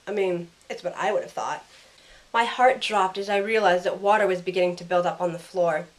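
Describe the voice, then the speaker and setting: A woman's voice: on the low side, fairly quick, close to an everyday voice, rough recording. A woman playing a caring young heroine who narrates her own ordeal in a scripted first-person audio drama. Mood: tense narration.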